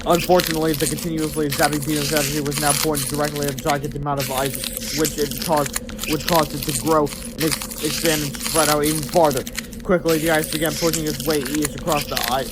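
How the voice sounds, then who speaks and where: A man reading a children's chapter book aloud as storybook narrator, with asides, stumbling over words now and then. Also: fairly high pitch. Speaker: storybook reader. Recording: very noisy amateur recording.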